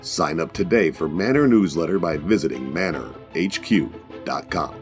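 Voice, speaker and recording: Male promo announcer, low register, scripted read over light music. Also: animated, normal speed.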